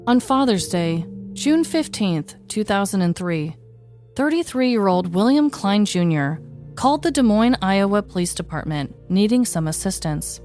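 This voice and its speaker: Woman podcaster with lively, animated delivery. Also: medium pitch, measured pace, soft background music. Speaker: podcast host and storyteller. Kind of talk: scripted true-story narration and casual chat.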